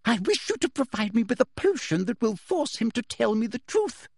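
A man voicing a falsely sweet, menacing female headmistress who turns shrill and girlish when angry, in a dry close recording. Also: sing-song, moderate pace, darkish tone, slightly rough, dry recording, full character voice. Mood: shrill furious.

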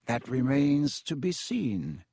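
Man putting on a smooth, sneering aristocratic villain. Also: on the high side, very animated, slow pace, no echo, clean studio sound, pitched above the performer's own voice, full character voice. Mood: smooth, threatening. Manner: lazy, smooth drawl.

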